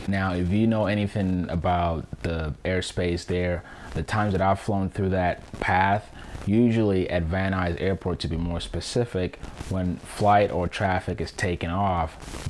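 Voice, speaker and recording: Low-voiced man explaining aviation audio. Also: darkish tone, slight background noise. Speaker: vlogger and pilot explaining air traffic audio. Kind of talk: explanatory commentary.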